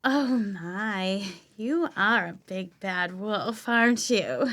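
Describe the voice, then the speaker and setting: Woman acting giggly, tipsy, slow-talking flirt. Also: medium pitch, fairly bright tone. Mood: flirtatious, coaxing. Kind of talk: stage readers theater audio play.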